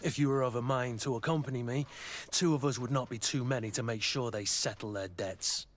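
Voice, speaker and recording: An actor playing a gruff, loyal mercenary master of arms in a video game, brooding, plain-spoken and sardonic. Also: male voice, mid-range voice, brisk, close to an everyday voice. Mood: grimly determined. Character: gruff, battle-worn male mercenary master of arms, guilt-ridden, loyal. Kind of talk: video game dialogue acting.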